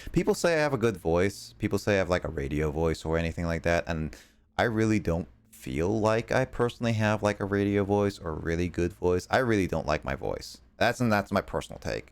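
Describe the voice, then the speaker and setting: A young American man streaming games, talking casually in halting, rambling bursts full of fillers and restarts. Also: deep voice, lively intonation, clean tone. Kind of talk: casual chat while gaming.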